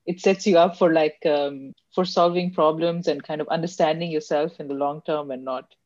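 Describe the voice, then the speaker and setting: Low-voiced woman host, lo-fi sound. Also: fairly even intonation, normal speed, no echo. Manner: soft-spoken, many fillers and restarts, laughs easily. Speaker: podcast host. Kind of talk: casual interview chat. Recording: dull lo-fi audio.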